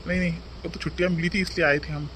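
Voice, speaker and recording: Man playing a high-voiced, questioning visiting relative. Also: little pitch movement, rapid-fire, a bit bright, slight background noise, natural voice, low-quality recording. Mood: reassuring, advising. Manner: questioning, code-switches between Hindi and Marathi. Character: visiting educated brother-in-law, polite, advising, mildly probing. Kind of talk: cartoon family dialogue.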